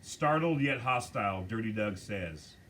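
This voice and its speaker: Slow low-voiced male script reader. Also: expressive, fairly bright tone, a little hiss or hum. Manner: slow, measured reading. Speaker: narrator reading stage directions. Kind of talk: script table reading.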